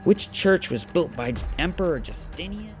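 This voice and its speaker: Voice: mid-range voice, animated, normal speed, faint music behind, close to an everyday voice. A performer playing an anxious, earnest young boy thinking aloud during an exam in an audio drama. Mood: determined, puzzled. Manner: muttering to himself, earnest.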